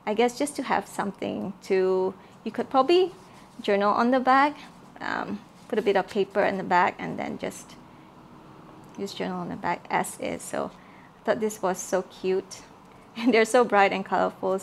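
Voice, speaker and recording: A woman from Malaysia showing her handmade journal kits in a casual, soft-spoken way, with many fillers and repeated words. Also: mid-range voice, vocal fry, a little hiss or hum. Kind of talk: casual show-and-tell craft video.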